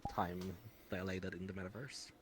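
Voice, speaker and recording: A man with a very low voice speaks haltingly as he explains things in a roleplay chat. The online voice connection carries clear noise. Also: flat delivery. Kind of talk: in-character improvised roleplay chat.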